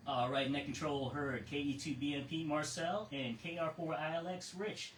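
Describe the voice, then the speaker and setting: An American man acting as ham radio net control, reading procedures in a measured way with casual asides. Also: on the high side, fairly even intonation. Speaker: amateur radio net control operator and host. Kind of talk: reading a net script and chatting with check-ins. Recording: roomy, distant mic with faint noise.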